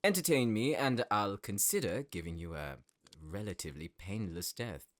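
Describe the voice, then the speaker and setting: A man's voice: on the low side, animated, moderate pace, fairly bright tone. Pompous, theatrical villain played by a man, wildly swooping delivery. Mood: mocking, smug. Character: pompous, menacing young noble sorcerer villain. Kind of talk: audio roleplay acting.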